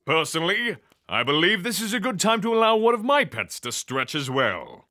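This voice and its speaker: An actor playing a haughty, flamboyant god of war in a fantasy audio drama, speaking in a theatrical, pompous, forceful way. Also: male voice, high voice, very animated, normal speed, heavily acted. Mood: haughty, amused. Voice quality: bright, forceful.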